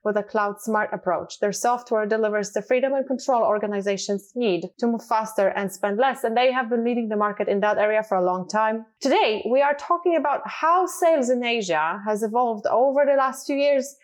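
A woman's voice: a little high, brisk, few pauses. A woman host reading a polished introduction and welcoming a guest. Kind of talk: scripted introduction.

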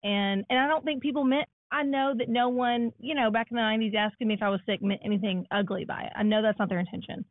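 A woman in her thirties with a naturally raspy voice, co-hosting a casual podcast chat. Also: fairly high pitch, steady tone, quick pace, few pauses, no room sound. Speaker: podcast co-host. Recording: dry, phone-like lo-fi sound.

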